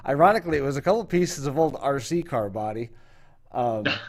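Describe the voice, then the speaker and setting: A man's voice: medium pitch, expressive, brisk. Male talk show host, mid-range voice, casual and rambling, takes his time through a story. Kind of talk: casual chat.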